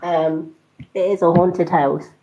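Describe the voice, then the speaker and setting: Slow, calm woman in a roomy space. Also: lowish voice. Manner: calm, soothing delivery. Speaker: live-stream host and psychic card reader. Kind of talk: casual live-stream chat with viewers. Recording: roomy, slightly dull livestream audio.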